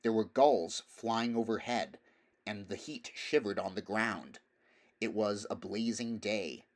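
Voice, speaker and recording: A man's voice: on the low side, slow. A man reading a story aloud as scripted narration, in the role of audiobook reader.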